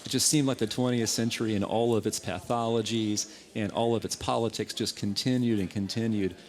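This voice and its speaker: A man from Texas, a guest on a radio show, explaining his points in a measured, articulate way. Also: lowish voice, fairly even intonation. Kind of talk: interview answers.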